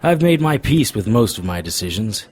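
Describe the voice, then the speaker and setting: A low voiced world-weary detective, dry wisecracks, noir audio drama narration. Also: male voice, big pitch swings, normal speed, darkish tone, husky. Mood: brooding narration. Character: wry, world-weary male paranormal investigator. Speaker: lead character and narrator.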